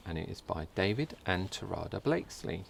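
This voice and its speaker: Male storybook reader, low voice with very lively intonation, unhurried and careful, faint birdsong and ambient noise behind. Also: creaky. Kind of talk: scripted children's story narration.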